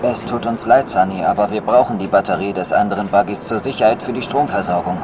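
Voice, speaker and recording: A man's voice: fairly low pitch, fast talker, a crowd in the background, lightly acted. An actor playing a practical, earnest man astronaut technician in a radio drama. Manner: practical, matter-of-fact. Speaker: astronaut engineer Isaac. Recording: loud storm noise behind.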